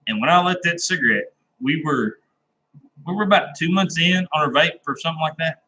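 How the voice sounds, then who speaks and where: Bright, chatty laid-back man. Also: on the high side, fairly quick, slight background noise, poor audio. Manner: rambling and laid-back, with many "uh"s and restarts. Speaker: livestream host chatting with viewers. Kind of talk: casual live-stream chat.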